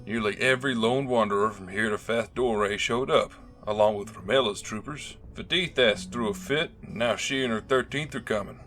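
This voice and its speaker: A man's voice: lowish voice, moderate pace, a bit bright, close to an everyday voice. A man voicing a blunt, foul-mouthed, exasperated male soldier in an audiobook, with a growling, grumbling delivery. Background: faint music.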